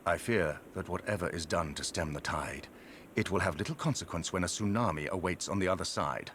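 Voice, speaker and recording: A man's voice: deep voice, a little fast, a bit bright, slight background noise. A man playing an aging, erudite and pompous Oxford occult scholar in a video game, with a wordy, theatrical delivery. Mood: grave, ominous. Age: older. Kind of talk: dramatic video game acting.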